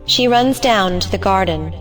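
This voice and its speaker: A woman's voice: medium pitch, lively intonation, moderate pace, faint music behind. A woman narrating the stage directions of an audio play in a scripted reading style.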